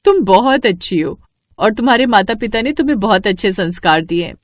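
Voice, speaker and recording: A woman's voice: mid-range voice, lively intonation, brisk, no echo, clean studio sound, close to an everyday voice. A woman voicing a kind, frank mother who is a customer in a moral story. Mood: frank, approving.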